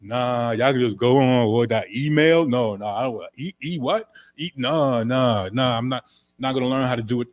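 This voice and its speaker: A man's voice: mid-range voice, moderate pace, no echo, clean studio sound, close to an everyday voice. A man doing a stubborn lazy old grumbler. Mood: dismissive. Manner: dismissive, grumbling refusals. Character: stubborn lazy older man refusing all change.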